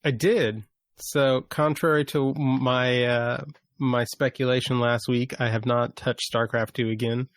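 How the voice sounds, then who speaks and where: A man's voice: mid-range voice, little pitch movement, unhurried, no room sound, studio quality. A man co-hosting a gaming podcast, chatting casually in an understated, matter-of-fact way. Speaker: podcast co-host.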